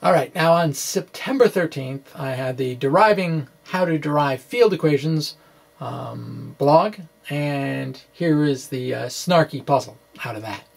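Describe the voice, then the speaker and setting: A middle-aged man explaining science informally as a video blogger, in a halting, rambling way with wry, quirky asides. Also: fairly high pitch, expressive, vocal fry. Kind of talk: informal science explainer, reading his blog aloud with asides. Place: small indoor home study with bookshelves. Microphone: microphone on a stand in front of him.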